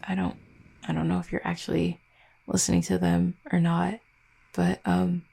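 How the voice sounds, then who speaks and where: A woman playing a tender, anxious young vampire girlfriend in an intimate audio roleplay, soft-spoken and halting, with a breathy, gravelly low voice. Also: monotone, exaggerated voice. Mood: worried.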